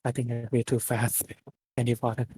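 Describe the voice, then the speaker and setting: A man's voice: medium pitch, completely flat delivery, moderate pace, warm tone, slightly rough, no echo, rough recording. Man reading a story aloud, very dry sound. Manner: informal, stumbling over words. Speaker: reader of fan fiction at an online readalong. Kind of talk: live amateur story reading.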